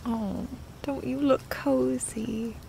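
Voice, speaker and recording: Tender, creaky-voiced girlfriend, acted by a woman. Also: sits high, fairly even intonation, drawn-out words, mellow, a little hiss or hum, exaggerated voice. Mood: cozy, affectionate. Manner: tender, casual, rambling, swears playfully. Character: affectionate, playful, chatty girlfriend comforting her partner. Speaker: audio roleplay performer. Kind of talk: intimate girlfriend roleplay, casual chat.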